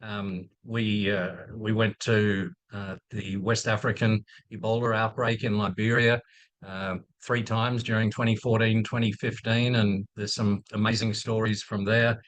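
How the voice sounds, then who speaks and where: A man's voice: lowish voice, level tone, a little slow, a bit bright, no room sound. A man in his fifties with an Australian accent, speaking unscripted on a video call with many ums and restarts. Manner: hesitant, many fillers and restarts. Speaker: infectious disease physician, program director. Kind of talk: unscripted talk in a webinar.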